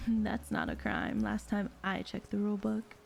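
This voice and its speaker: A woman's voice: medium pitch, wildly swooping pitch, measured pace, vocal fry, full character voice. A woman playing a sly, sweet-talking con artist in an audio drama, with a sassy, teasing, self-assured delivery. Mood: playful, defensive. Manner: sassy, drawling terms of endearment, teasing.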